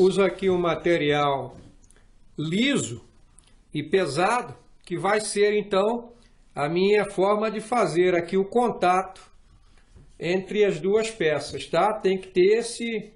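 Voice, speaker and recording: A man's voice: high-pitched, far from the mic. A middle-aged man from Brazil teaching fly rod building in a patient, methodical way, often checking in with the viewer as he works. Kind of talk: hands-on workshop tutorial. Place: small indoor workshop room. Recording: echoey room, voice off-mic.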